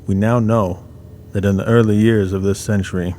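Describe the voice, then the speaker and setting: A man narrating a radio drama in a measured, solemn storytelling manner. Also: on the low side, fairly even intonation, warm tone, slight background noise.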